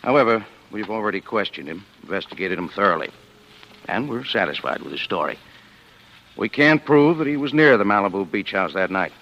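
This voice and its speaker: A sardonic, needling police lieutenant from a 1940s radio mystery. His voice sits fairly low and moves with very animated inflection, wry and colloquial. The sound is dull, vintage lo-fi. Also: brisk. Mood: matter-of-fact. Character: wry, skeptical homicide police lieutenant, male. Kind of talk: 1940s radio drama acting.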